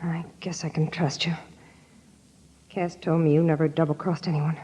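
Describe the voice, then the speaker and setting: A woman's voice: low-pitched, level tone, normal speed, mellow, a little hiss or hum, natural voice. An actress playing a tough, jittery girlfriend with a secret in an old-time radio crime drama, talking slangy and urgent. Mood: guarded, businesslike. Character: hard-boiled, jittery young gangster's girlfriend with a secret. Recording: dull, lo-fi old radio recording.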